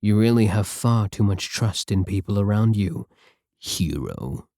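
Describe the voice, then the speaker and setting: A taunting villain scientist, voiced by a man speaks close to the mic in a low, sarcastic tone. He sounds arrogant and condescending. The recording is dry, with no room sound. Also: lively intonation, measured pace, warm tone, no echo. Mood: mocking. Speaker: voice actor in audio roleplay. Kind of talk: ASMR roleplay audio.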